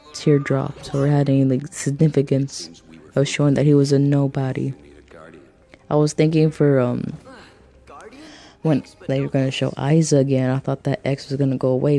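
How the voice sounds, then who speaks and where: A woman with a raspy voice talking through game theories in a rambling, hesitant monologue, thinking aloud and jumping between topics. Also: a bass voice, mellow. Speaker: video game fan vlogger.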